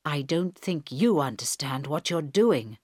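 A woman voicing a middle-aged male passenger and father, speaking low and measured. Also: lively intonation, darkish tone, dry recording, clean studio sound. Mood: arguing.

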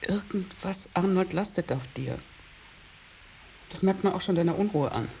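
A woman's voice: fairly low pitch, animated, normal speed, creaky, warm tone, a little hiss or hum. An actress playing an anxious, reproachful middle-aged mother in a German radio drama, pleading and scolding. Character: anxious, reproachful mother of a wayward adult son.

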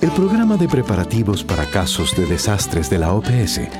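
A man's voice: lowish voice, huge pitch swings, moderate pace, loud music behind the voice. A man giving scripted narration as the narrator of a radio drama.

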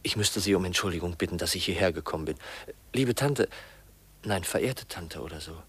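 Young male lead, radio play: shy, uneasy, halting delivery, low husky voice. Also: lively intonation, a bit bright, exaggerated voice. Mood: awed, self-reproachful. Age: young adult. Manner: hesitant, halting, polite, murmuring inner monologue. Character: shy, awkward young heir, uneasy and overwhelmed. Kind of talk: 1950s German radio drama acting. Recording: 1950s radio drama recording.

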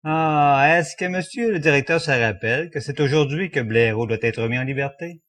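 An actor playing a dutiful prison attendant in an audio comedy, speaking formally and with deference. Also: male voice, a little high, normal speed, fairly bright tone, natural voice. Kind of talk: French radio comedy acting.

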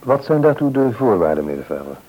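A man's voice: medium pitch, very animated, fast talker, husky, lo-fi sound. An actor playing a hopeful, polite married man in a radio play, speaking a little hesitantly with a Netherlands Dutch accent. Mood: polite, concerned.

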